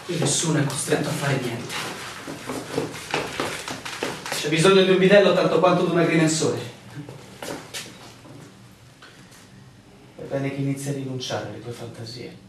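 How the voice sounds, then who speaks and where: An actor playing a pompous, unbending village official in a radio drama, speaking formally and with measured, officious diction. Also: male voice, mid-range voice, lightly acted. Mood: stern. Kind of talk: Italian radio drama acting. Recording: echoey, distant, noisy radio play recording.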